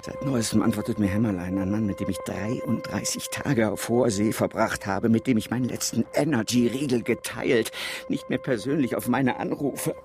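A man's voice: fairly low pitch, normal speed, over music, natural voice. An actor playing an ailing, adventurous man in a German radio drama, indignant and theatrical, swinging between brooding and exclamation. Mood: aggrieved.

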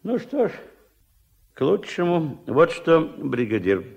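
Pompous, creaky-voiced Soviet boss. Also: male voice, fairly high pitch, very animated, slow pace, darkish tone, muffled recording, heavily acted. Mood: curious, scheming. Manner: officious, bureaucratic, repeating catchphrases. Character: pompous, bossy Soviet fishery boss, suspicious and blustering. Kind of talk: Soviet radio play acting.

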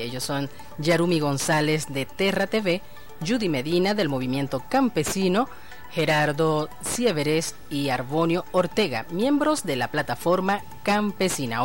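A woman with a Venezuelan Spanish accent presenting radio news and welcoming guests in a formal announcing manner. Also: low voice. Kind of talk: read radio news and interview hosting.

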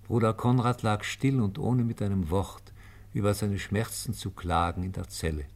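Low-voiced man narrating a radio play. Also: steady tone, darkish tone. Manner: solemn, measured storytelling.

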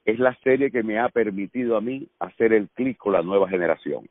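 A man's voice: on the low side, brisk, no room sound. Middle-aged Cuban man, actor guest, chatty storyteller, phone-line sound. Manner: lively storyteller, laughs often. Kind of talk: casual radio conversation.

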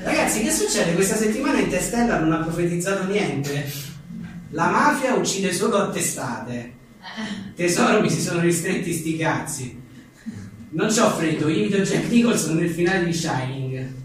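Echoey, higher-pitched man joking drily. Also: lively intonation, moderate pace. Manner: wry, joking, with offhand asides. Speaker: journalist and author presenting his book. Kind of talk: reading out comic one-liners at a live book presentation. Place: live book presentation in a reverberant room. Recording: echoey room, distant mic, faint noise.

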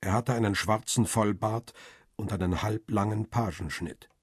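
An actor playing a world-weary, guarded paparazzo who narrates a radio crime drama in a measured, understated, husky way. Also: male voice, lowish voice, no room sound, clean studio sound. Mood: pensive.

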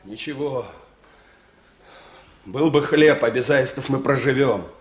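A man's voice: mid-range voice, takes it slowly, lightly acted. An actor in a Soviet radio play, playing a nervous, thin village foreman who complains with agitated sarcasm. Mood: dismissive.

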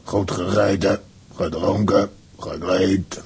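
A man playing a menacing, blustering robber chief with a husky voice in a children's audio drama. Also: deep voice, steady tone, a little mellow, full character voice. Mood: gruff, scornful. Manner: slow, threatening, blustering. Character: menacing male robber chief, gruff villain.

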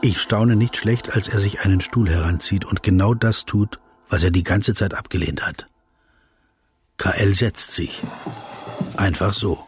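An actor playing a reflective, sceptical yet compassionate questioner in a radio drama, speaking in a measured, insistent way and slipping into inner narration. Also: male voice, sits low, slight background noise. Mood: surprised. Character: reflective male interviewer of a famous man, probing, sceptical, compassionate.

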